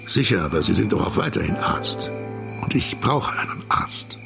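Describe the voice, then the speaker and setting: A man's voice: deep voice, sing-song, moderate pace, gravelly, music in the background, full character voice. An actor playing a stern, middle-aged widowed businessman and exhausted father in a radio crime drama, speaking formally, bluntly and with tight control. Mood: curt, formal.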